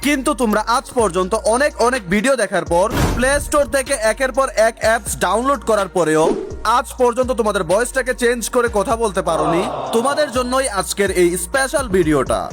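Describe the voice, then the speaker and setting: A man's voice: very high-pitched, animated, quick pace. A man narrating a gaming tutorial, speaking directly to viewers in an instructional way. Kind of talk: energetic scripted tutorial narration. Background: music.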